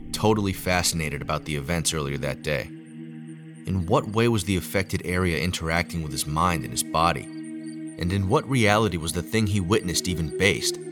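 A man narrating a scripted first-person audio drama as a scientist, in a measured storytelling manner. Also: low voice.

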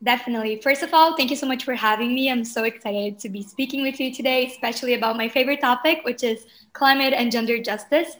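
Woman in her 20s, kinda high voice, chatting as a podcast guest. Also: quick pace, few pauses, a bit bright. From Porto Alegre, southern Brazil. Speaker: podcast guest, climate justice advocate. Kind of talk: conversational interview answers.

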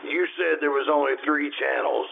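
Tinny noisy radio play, man acting stubborn doubtful boss guy, gravelly, grumbles and stumbles over words. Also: fairly high pitch. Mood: grumbling. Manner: grumbling, incredulous, halting. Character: skeptical, stubborn workaholic store boss and father. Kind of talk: old-time radio drama acting. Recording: tinny phone-like band, noisy radio sound.

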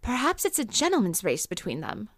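A woman playing a sultry, sharp-tongued nightclub singer and informant in a noir comedy audio drama, flirtatious and sardonic. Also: mid-range voice, wildly swooping pitch, a little fast, no room sound, clean studio sound. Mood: knowing, amused. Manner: flirtatious, sardonic, wordplay-heavy banter.

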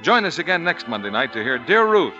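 Male 1940s network announcer, slightly high voice, music and audience behind, dull broadcast sound. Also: big pitch swings, a little fast.

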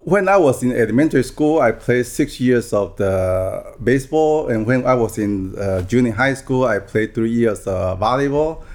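A man in his sixties from Taiwan, a guest on a podcast interview, talking in a soft, halting way with many restarts. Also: mid-range voice, expressive. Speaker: guest real estate broker.

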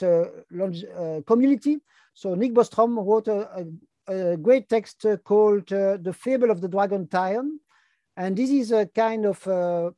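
A man in his fifties from Brussels, speaking English with a French-speaking Belgian accent, talking as an interview guest, pausing often and filling gaps with hesitations. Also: high voice, warm tone, no room sound. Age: 50s. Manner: halting, frequent hesitation fillers. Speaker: guest, longevity activist. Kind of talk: casual interview talk.

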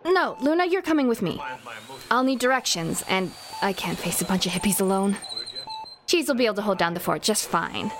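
A woman's voice: mid-range voice, expressive, brisk, background noise, close to an everyday voice. A woman voicing a brash, determined young woman who talks in a casual, sarcastic way.

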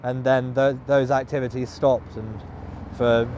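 A man talking in a thoughtful, halting way, with frequent restarts, while walking outdoors. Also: medium pitch, level tone, background noise. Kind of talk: casual conversation. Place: walking outdoors by a cycle path in a city.

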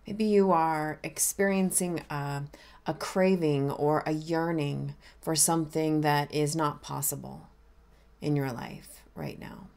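A woman minister leading a guided meditation in a gentle, unhurried, inviting way. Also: low voice.

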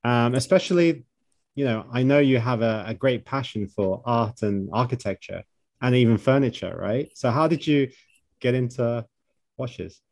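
A man's voice: mid-range voice, normal speed, darkish tone, no echo. A man co-hosting a podcast, interviewing a guest. He asks questions in a friendly way, often adding "like" and "right?". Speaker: podcast co-host. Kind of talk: hosted interview.

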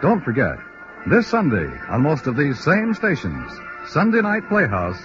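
Animated vintage male radio announcer. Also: fairly high pitch, a little slow. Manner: formal, emphatic. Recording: dull old radio broadcast recording. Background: music.

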